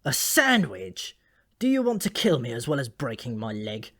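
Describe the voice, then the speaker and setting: A man's voice: mid-range voice, huge pitch swings, normal speed, a bit bright, no echo. Ancient dwarf for a fantasy audiobook , acted by a man: dyspeptic, gloomy, rambling, grudgingly friendly, recorded dry. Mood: gloomy, grumbling.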